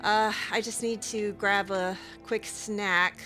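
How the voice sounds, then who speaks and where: Woman gamer chatting thru a game, bright voice, lots of ums and uhs. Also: mid-range voice, fairly even intonation, slow pace. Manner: chatty, hesitant, lots of fillers. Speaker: let's play gamer. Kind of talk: in-character game roleplay commentary. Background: faint game music.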